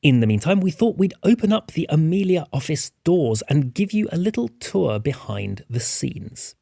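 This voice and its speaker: A man's voice: on the high side, very animated, moderate pace, creaky, mellow, slightly rough, no echo, studio quality. A man hosting a behind-the-scenes podcast as one of its producers, talking casually.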